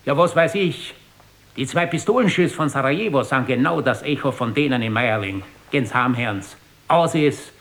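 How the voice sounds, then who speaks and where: An actor playing a cynical, flippant Austrian aristocrat in a radio drama, sardonic and drawling, with an Austrian accent. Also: male voice, mid-range voice, moderate pace, a bit bright, close to an everyday voice. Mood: gleeful, cynical.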